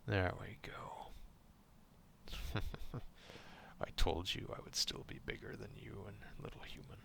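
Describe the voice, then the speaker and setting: A man performs a proud, gruff male werewolf in an ASMR roleplay. The voice is very low and gravelly, often sinking toward a whisper. The beast speaks in a stern, curt grumble, distrustful yet grudgingly caring. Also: unhurried, a bit bright, full character voice. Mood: gruff, instructing. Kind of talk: slow ASMR roleplay acting.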